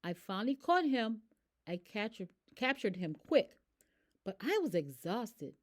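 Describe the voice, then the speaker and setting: Woman, children's read-aloud, rhyming narration, expressive, unhurried pace, highly varied pitch. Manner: expressive, emphatic. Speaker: storyteller.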